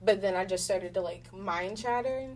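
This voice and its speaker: A woman speaking candidly in casual chat as an interview guest, telling things in an animated way with slang and swearing. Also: medium pitch, moderate pace, recorded from a distance, a little hiss or hum. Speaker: interview guest, voice actor in a radio play.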